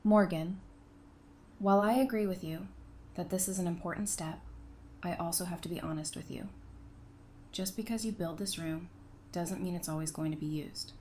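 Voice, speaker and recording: A woman playing a candid, caring, level-headed survivor in an audio drama, speaking in a measured, frank way. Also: fairly low pitch, a little mellow, close to an everyday voice. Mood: frank, serious. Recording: faint background noise and ambience.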